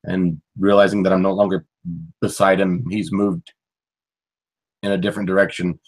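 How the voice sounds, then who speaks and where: A man with a low voice and a flat, even delivery steps through his character's actions in the first person. He speaks plainly, with little rise and fall. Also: moderate pace, low-quality recording. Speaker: player. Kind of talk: tabletop game play.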